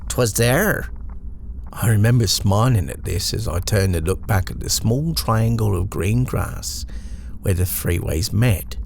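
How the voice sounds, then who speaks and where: British male horror narrator: low, creaky voice, measured and reflective, faint ambience behind. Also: darkish tone, slight background noise. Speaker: storyteller. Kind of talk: first-person horror story narration.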